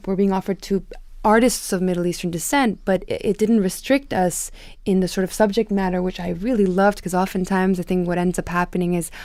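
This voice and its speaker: Woman with a creaky, close-miked voice. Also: fairly low pitch, fairly even intonation, a little fast, darkish tone, no room sound. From Turkey. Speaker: playwright and actor, interview guest. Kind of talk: reflective interview conversation.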